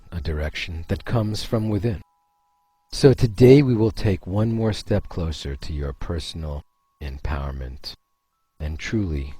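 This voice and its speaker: A man leading a guided meditation, speaking in a slow, soothing way with pauses between phrases. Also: extremely low pitch, warm tone, slightly rough, no room sound.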